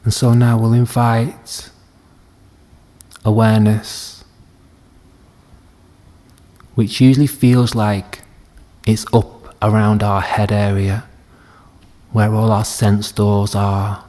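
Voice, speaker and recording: A man leading a guided meditation as a teacher, speaking gently and soothingly with long unhurried pauses. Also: on the low side, little pitch movement. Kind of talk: guided body scan meditation.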